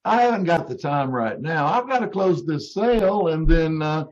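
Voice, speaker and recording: A man's voice: a little high, expressive, normal speed. Man acting a slick flirty salesman, muffled sound. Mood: smooth, hurried. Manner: slick, pet-name-dropping sweet talk. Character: smooth, flirtatious, brash door-to-door vacuum salesman. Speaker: amateur radio troupe actor. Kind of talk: old time radio comedy acting. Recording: dull, lo-fi video call audio.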